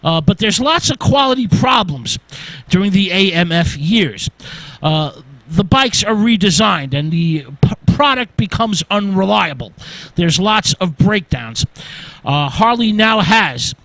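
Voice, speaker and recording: High-voiced man lecturing haltingly. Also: animated, fairly bright tone, dry recording, poor audio. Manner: halting, frequent uh between short phrases. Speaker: radio host. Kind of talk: informal history lecture read from notes.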